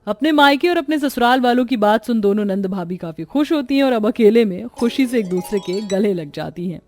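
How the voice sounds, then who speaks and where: A woman narrates an animated family story. Her voice is rather high. She reads from a script in an expressive storyteller's manner. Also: a little fast.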